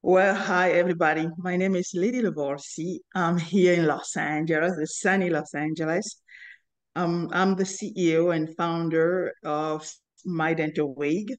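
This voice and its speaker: Woman in her fifties, interview guest, slow and halting, flat even delivery. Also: on the low side. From Cameroon. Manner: earnest, halting with many pauses and fillers. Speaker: guest, inventor and company founder. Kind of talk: interview storytelling.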